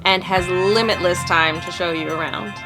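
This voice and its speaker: A woman's voice: fairly low pitch, steady tone, moderate pace, over music, natural voice, low-quality recording. A woman voicing a friendly, chatty, playful young woman from a small town in a visual novel fan dub. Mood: friendly, upbeat.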